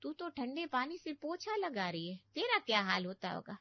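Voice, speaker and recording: A woman's voice: high-pitched, sing-song, normal speed, no echo, muffled recording. A woman voicing a meddling older aunt in a family cartoon, complaining and lecturing with exaggerated sympathy. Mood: mock pity. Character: meddling older aunt, falsely pitying, nagging and fault-finding. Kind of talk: Hindi family cartoon story voice acting.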